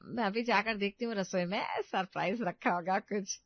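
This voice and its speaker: Woman doing a loud, scolding older mother-in-law. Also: mid-range voice, moderate pace, muffled recording, lightly acted. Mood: defensive, insistent. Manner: emphatic, nagging.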